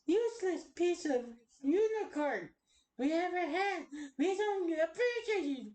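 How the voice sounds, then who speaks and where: A woman's voice: extremely high pitch, lively intonation, a little slow, darkish tone, voice pushed up. A woman voicing a cruel, scornful unicorn parent who berates a child with harsh contempt in an amateur cartoon style. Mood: shouting, taunting. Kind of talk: amateur cartoon villain voice acting.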